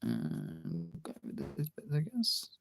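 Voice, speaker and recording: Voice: sits low, huge pitch swings, slow, creaky, a little hiss or hum. A streamer chatting casually while drawing, absent-mindedly thinking aloud in half-muttered remarks.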